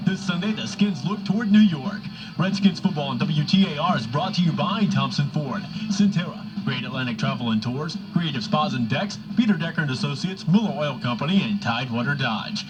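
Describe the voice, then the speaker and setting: A man's voice: on the high side, animated, fairly quick, music in the background. A man working as a radio reporter, reading scripted news and sports in an old lo-fi radio recording. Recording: dull lo-fi old radio aircheck.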